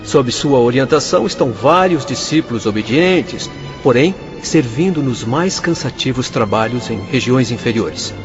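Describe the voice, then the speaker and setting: An actor playing a young doctor who guides others, speaking in a calm, explanatory way in a radio drama. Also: male voice, mid-range voice, moderate pace, natural voice. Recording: dull lo-fi audio with music bed.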